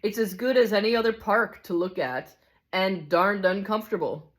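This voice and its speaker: A man's voice: high-pitched, moderate pace, a bit bright. A woman reading the part of a cynical, hard-bitten male ghost in a storybook, grumbling scornfully and insistently. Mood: jaded, dismissive. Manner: scornful, insistent complaining with rhetorical questions.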